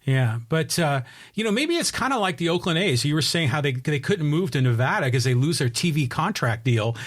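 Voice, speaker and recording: A man's voice: a little high, big pitch swings, fairly quick. Joking radio co-host man. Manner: joking, casual, questioning. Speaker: co-host reading listener questions. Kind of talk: sports talk radio chat.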